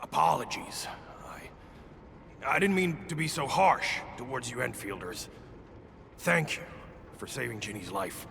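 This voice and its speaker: An actor playing a weary, anxious male refugee settler in a video game, speaking earnestly and haltingly in rough, folksy phrases. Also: medium pitch, expressive, sharp tone, exaggerated voice. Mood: apologetic, grateful. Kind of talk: dramatic video game voice acting. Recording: long hall reverb, music behind. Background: game music.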